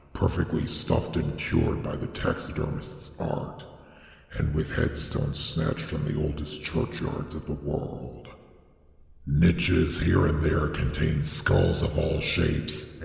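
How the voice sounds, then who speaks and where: A man reading gothic horror as dramatic scripted narration, with solemn, deliberate, theatrical phrasing and a gravelly voice. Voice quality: very deep, gravelly. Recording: echoey with long reverb.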